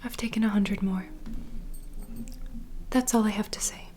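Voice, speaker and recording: A woman's voice: medium pitch, fairly even intonation, normal speed, warm tone, a little hiss or hum, lightly acted. A woman playing a worldly, kindly vampire bartender in an ASMR roleplay, whispering intimately and conspiratorially to the listener. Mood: conspiratorial, wry. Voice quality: breathy whisper.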